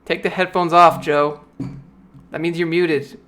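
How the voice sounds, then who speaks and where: A man in his late twenties hosting a casual livestream chat, relaxed and joking. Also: a little high, unhurried. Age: 20s. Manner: relaxed, joking, playfully insistent. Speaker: livestream host.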